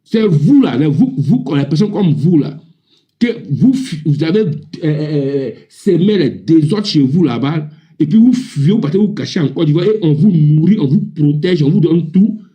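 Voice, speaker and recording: A man's voice: fairly high pitch, animated, normal speed, muffled recording. A man with an Ivorian accent speaking indignantly and emphatically in a heated, informal live political debate, often repeating himself. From Ivory Coast. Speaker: panel debate participant.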